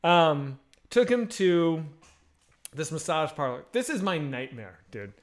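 A man's voice: on the high side, takes it slowly. A man in his thirties hosting a casual video-call chat, telling crude stories with lots of energy, on a headset mic at home. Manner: crude, animated storytelling. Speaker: podcast host. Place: home room with bookshelves.

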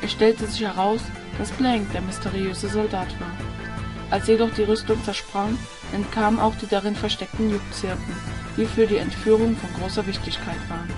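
A woman's voice: mid-range voice, fairly even intonation, normal speed. Woman narrator over prominent music. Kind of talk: scripted audio drama narration. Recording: music under the voice.

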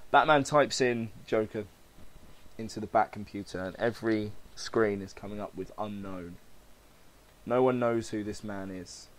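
A man's voice: fairly low pitch, measured pace. A man hosting a podcast, casually reading and narrating a comic-book story aloud. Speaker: podcast host.

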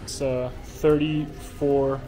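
Young male travel vlogger, casual and rambling, handheld camera outdoors, clear street noise. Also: mid-range voice, flat delivery, takes it slowly, warm tone, poor audio. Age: young adult. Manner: rambling, lots of fillers and restarts. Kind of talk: casual walking vlog to camera. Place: outdoors on busy city streets. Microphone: action camera held at arm's length. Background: traffic and city ambience.

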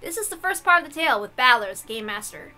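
A woman's voice: high-pitched, big pitch swings, fast talker, bright, forceful tone, soft background music. A woman narrating a visual novel aloud, with casual asides between the scripted lines. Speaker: reader and narrator.

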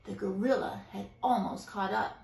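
A woman's voice: mid-range voice, fairly even intonation, normal speed, gravelly, darkish tone, echoey room. A woman narrating a children's storybook expressively, with dramatic, emphatic phrasing. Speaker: storybook reader.

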